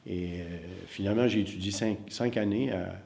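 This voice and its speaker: A man's voice: lowish voice, fairly even intonation, moderate pace, gravelly, darkish tone. A middle-aged man from Northern Ontario, an acting teacher giving reflective interview answers, hesitant and full of fillers and repeated words.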